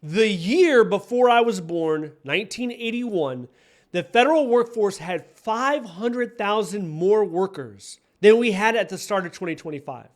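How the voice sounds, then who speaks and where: American guy in his 40s, pretty high voice, emphatic opinion talk, hammers points by repeating them. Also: lively intonation, a little slow. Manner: emphatic, repeats key phrases for effect. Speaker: online career coach and commentator. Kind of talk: direct-to-camera opinion commentary.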